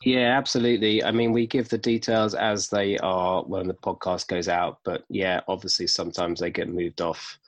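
A man's voice: low voice, little pitch movement, normal speed, hardly any pauses, no room sound. A man co-hosting a film review podcast, chatting casually and rambling with restarts. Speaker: podcast co-host.

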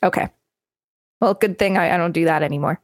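A woman's voice: lowish voice, brisk, no echo, clean studio sound. A woman co-hosting a podcast, reading an article aloud and adding casual commentary. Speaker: podcast co-host.

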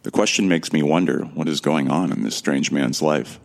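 An actor playing a bewildered, introspective amnesiac from Earth, telling his story in the first person in a measured, gravelly voice for an audio drama. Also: a bass voice. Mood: introspective, tense.

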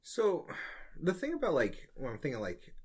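A man's voice: a little high, wildly swooping pitch, normal speed, slightly rough. A man co-hosting a podcast in a casual, conversational chat. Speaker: podcast co-host.

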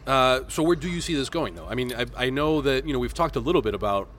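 A man from Miami co-hosting a casual podcast, thoughtful and measured, asking leading questions. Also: medium pitch, lively intonation, a bit bright. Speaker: podcast co-host.

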